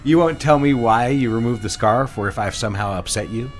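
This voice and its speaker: A man playing a smooth, patronizing ad executive boss in a period office drama, assured and condescending. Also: mid-range voice, a little fast, soft background music, close to an everyday voice. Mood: teasing, needling.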